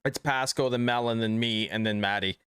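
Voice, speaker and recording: Smooth-voiced streamer losing his voice. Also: mid-range voice, moderate pace, fairly bright tone, no echo, studio quality. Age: adult. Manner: chatty, reads chat aloud. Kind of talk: casual game stream chat.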